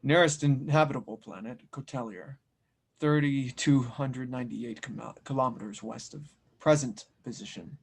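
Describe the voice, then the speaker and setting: A man's voice: mid-range voice, moderate pace, vocal fry, husky. A man reading scripted narration and stage directions as the narrator of an audio drama table read.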